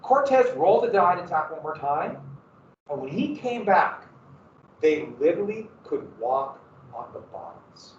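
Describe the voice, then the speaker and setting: A man's voice: fairly high pitch, sing-song, measured pace, slight background noise. A man with a Montana accent giving a casual, rambling classroom history lecture as a teacher. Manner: casual, rambling, self-deprecating. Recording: echoey classroom from far off, dull.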